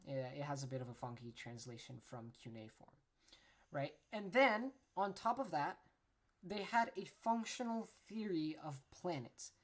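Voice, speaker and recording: A woman hosting a casual educational podcast, chatty and informal, often tagging her points with "right?", correcting herself, and apologizing for her pronunciation. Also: deep voice. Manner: chatty, tags lines with "right?", frequent self-corrections. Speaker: podcast host.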